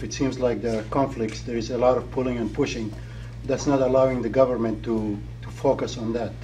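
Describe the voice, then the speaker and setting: A man's voice: medium pitch, mellow, recorded from a distance, slight background noise, rough recording. A man moderating a panel, hesitant and restarting his phrases. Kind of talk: formal panel discussion.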